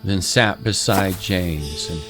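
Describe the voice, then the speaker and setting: Deep-voiced male narrator over music. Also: slow pace, a bit bright. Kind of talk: scripted dramatic story narration. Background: clear music.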